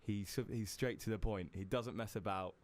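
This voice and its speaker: A man's voice: fairly low pitch, fairly even intonation, moderate pace. A young British man co-hosting a casual podcast, chatty and easygoing, often laughing. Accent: British English. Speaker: podcast co-host. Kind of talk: casual chat.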